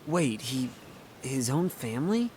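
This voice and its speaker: An actor playing a hopeful, sincere young soldier hero in a video game. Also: male voice, medium pitch, big pitch swings, a little slow, a little mellow, slight background noise. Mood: earnest, pleading. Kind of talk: video game cutscene acting.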